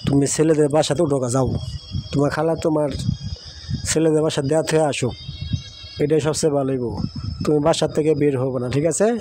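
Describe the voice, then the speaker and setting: Man acting practical village uncle, high-pitched voice. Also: mellow, natural voice, low-quality recording. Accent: Bangladeshi. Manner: instructing, matter-of-fact. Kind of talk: Bengali moral cartoon acting.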